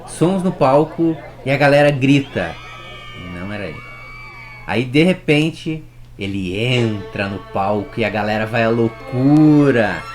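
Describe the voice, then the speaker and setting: Man, mid-pitched voice, chatty music history teacher who speaks straight to the viewer and throws in rhetorical questions. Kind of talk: casual storytelling lesson.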